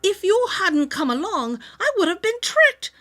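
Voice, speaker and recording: A woman's voice: very high voice, big pitch swings, measured pace, a bit bright, soft background music, pitched above the performer's own voice, exaggerated voice. A woman voicing a tiny, grateful leprechaun man in a storybook, with courtly, exclaiming rhymes. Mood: excited. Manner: exclaiming, courtly rhyming speech. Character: weary, grateful little old-world leprechaun man with beard.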